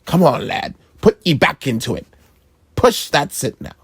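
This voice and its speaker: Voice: mid-range voice, very animated, a little slow, husky, no echo. A storyteller voicing an old pirate ancestor who cheers the family on with hearty pirate talk. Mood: rousing. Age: older. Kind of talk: children's storybook read-aloud.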